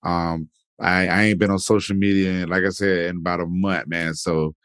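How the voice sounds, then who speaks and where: A young man with a very low, deep voice hosts a podcast in a laid-back, rambling way. He leans on "man" and "definitely" as he talks. His voice is close and dry, with no room sound. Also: flat delivery, moderate pace, a bit bright, clean tone, no room sound. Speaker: podcast host. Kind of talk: casual interview chat.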